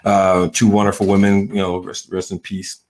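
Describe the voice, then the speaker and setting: A man with a very low voice from the American South talks straight to his viewers. His delivery is loose and unhurried, full of ums, "you know"s and half-started sentences he circles back to. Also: monotone, darkish tone. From Southern United States. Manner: hesitant, rambling, many fillers and restarts. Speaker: channel host. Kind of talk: casual personal monologue.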